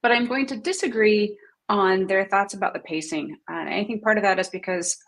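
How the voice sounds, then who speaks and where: A woman's voice: mid-range voice, brisk, rough recording. A woman panelist giving her opinions in a conversational way. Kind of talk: casual opinion in panel discussion.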